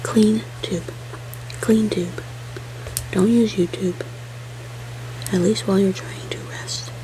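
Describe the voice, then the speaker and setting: A woman whispering soft, rambling chat as she colors for an ASMR video, sniffling now and then from allergies. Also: mid-range voice, steady tone, takes it slowly, rough recording. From United States. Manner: soft-spoken, rambling, chatty aside. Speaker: ASMR creator.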